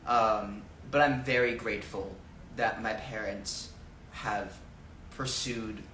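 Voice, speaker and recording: A young American man from the Chicago area chatting casually as an interview guest, in an expressive, informal and exclamatory way. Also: on the low side, takes it slowly. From Chicago area, United States. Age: young adult. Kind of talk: casual chat. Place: indoor room, live social media stream. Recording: echoey room, distant mic, background hiss.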